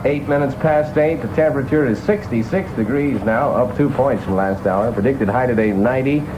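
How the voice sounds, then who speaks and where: A man's voice: medium pitch, normal speed, background noise. A man working as a 1960s top-40 radio disc jockey, talking in playful, hip showman patter. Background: music.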